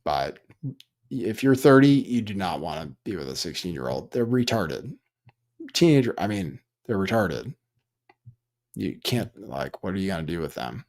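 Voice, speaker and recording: A man's voice: sits low, very animated, moderate pace, vocal fry, darkish tone. A man hosting a livestream, commenting casually in an offhand, dry way with rambling asides. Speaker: livestream host.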